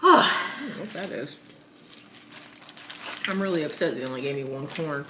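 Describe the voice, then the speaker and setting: A woman's voice: low voice, big pitch swings, normal speed, background noise. Playful young woman chatting while eating. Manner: playful, teasing, drawling. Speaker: vlogger. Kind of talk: casual mukbang chat.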